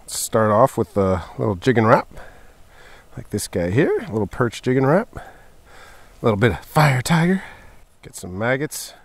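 A man in his thirties chatting excitedly to viewers while ice fishing outdoors on a snowy lake, recorded on a camera mic. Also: medium pitch, normal speed, long pauses, dry recording. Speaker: fishing vlogger. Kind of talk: casual vlog narration.

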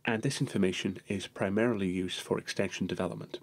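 Male tutorial narrator, lowish gravelly voice, a bit husky, steady step-by-step delivery. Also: quick pace.

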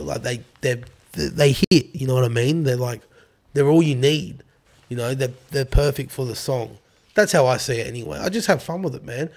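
A raspy-voiced Australian man talks in a relaxed, rambling way as a podcast guest. His voice sits in an ordinary middle register, and he swears casually and leans on fillers. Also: mid-range voice. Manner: laid-back, swears freely, filler-heavy. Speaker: rapper guest.

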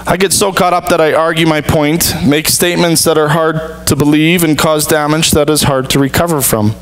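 A man in his thirties, a pastor, preaching an earnest sermon with measured, deliberate pauses from a church stage. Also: fairly high pitch, slight background noise, poor audio.

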